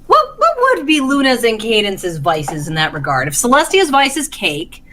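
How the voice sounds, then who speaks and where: A woman talks casually on a fan panel. Her voice sits fairly high and moves animatedly. A faint noise runs behind her. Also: wildly swooping pitch, moderate pace, a bit bright. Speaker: podcast panelist.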